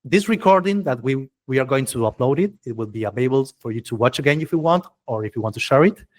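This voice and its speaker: A man with a mid-pitched voice hosts an informal meetup presentation. He sounds enthusiastic, often halting and repeating himself, with non-native-sounding English phrasing. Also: expressive. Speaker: meetup host presenting project proposals. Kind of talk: informal presentation to a tech community.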